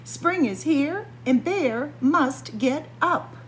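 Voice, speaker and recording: A woman voicing a small, eager male mole in a picture book, earnest and excitable, in a sing-song, exclaiming manner. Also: sits high, slow pace, slight background noise, pitched above the performer's own voice. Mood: worried.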